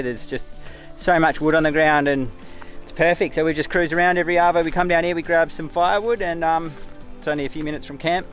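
A man with an Australian accent, chatting casually outdoors as a family travel vlogger, relaxed and full of ums and likes. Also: fairly high pitch. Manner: relaxed, chatty, uses fillers like um and like. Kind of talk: casual vlog narration. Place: outdoors in the bush.

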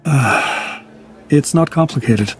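An actor playing a wealthy, smug biotech scientist in a video game, grandiose and self-assured, enthralled by dinosaurs. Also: male voice, medium pitch, slow pace, noisy background, close to an everyday voice. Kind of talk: video game cutscene acting.

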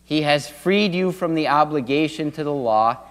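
A middle-aged man preaches from the pulpit of a church sanctuary, building to earnest swells of emphasis. His voice sits a little on the high side for a man. The sound is picked up from a distance, and long reverb from the sanctuary trails after his phrases. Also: moderate pace. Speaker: pastor. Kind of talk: sermon.

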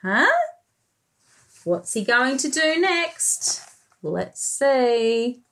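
A woman's voice: on the high side, big pitch swings, very slow, fairly bright tone. A woman in her thirties with an Australian accent, a preschool teacher reading a storybook aloud expressively with comments for young children. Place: small bright room indoors.

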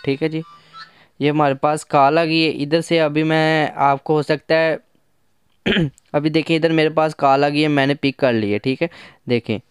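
A man's voice: a little high, fairly even intonation, moderate pace, smooth, no echo, clean studio sound, soft background music. A man giving a casual hands-on app tutorial, conversational and instructional, mixing in English terms. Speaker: tech app reviewer.

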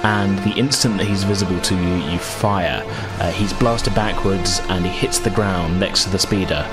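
A man with a fairly deep voice runs a tabletop game as game master. He explains things informally and narrates the action casually. Music plays clearly behind him. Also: normal speed.

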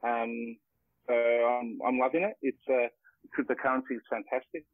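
A man's voice: mid-range voice, steady tone, moderate pace, no room sound. An Australian man in his forties, a guest introducing himself casually over a remote call. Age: 40s. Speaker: interview guest, cryptocurrency project team member. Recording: muffled phone-like internet call.

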